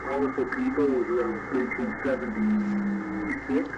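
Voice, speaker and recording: Male casual radio chatter, unhurried and lively in pitch, through echoey shortwave reception with heavy noise. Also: muffled recording. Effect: shortwave radio transmission.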